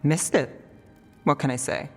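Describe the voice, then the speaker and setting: A tired wry game templar, dry short lines, long echo, soft music behind. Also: male voice, on the high side, unhurried. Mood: wary, dry. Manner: dry, terse. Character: wry, world-weary city templar investigator. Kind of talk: game dialogue acting.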